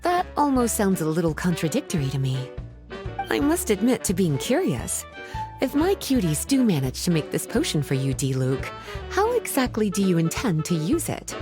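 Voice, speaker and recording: A woman's voice: lowish voice, wildly swooping pitch, moderate pace, over music. An actress playing a poised, playful, doting fantasy lady in a video game, elegant and coddling in manner. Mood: curious.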